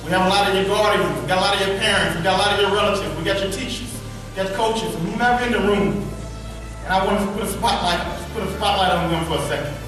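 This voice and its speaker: Guy in his 30s giving a pep talk to students, slight lisp, pretty high voice, heard from far off in a big echoey hall. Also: fast, over music. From Birmingham, Alabama. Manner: earnest, repeats key phrases for emphasis. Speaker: motivational speaker. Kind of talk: motivational speech to students. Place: large echoey school event hall.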